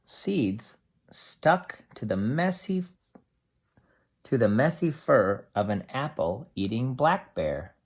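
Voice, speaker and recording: A teacher reads a picture book aloud to young children in a husky voice. The delivery is slow and deliberate, with lively swings in pitch and simple explanatory asides along the way.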